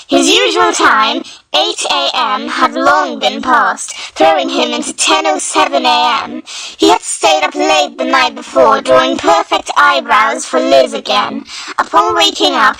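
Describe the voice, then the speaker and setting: Bright, high-voiced woman reading a story. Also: low-quality recording. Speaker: fanfiction reader. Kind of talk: dramatic scripted story narration.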